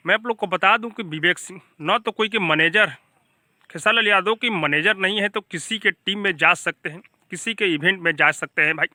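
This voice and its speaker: A man with a Bhojpuri accent hosts an informal gossip news update. His voice is high and strikingly bright, recorded very dry. He talks emphatically and directly to his viewers. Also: a little fast, dry recording. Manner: emphatic, repeatedly addressing viewers as brother. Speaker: YouTube channel host. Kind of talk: informal gossip news commentary to camera or voice-over.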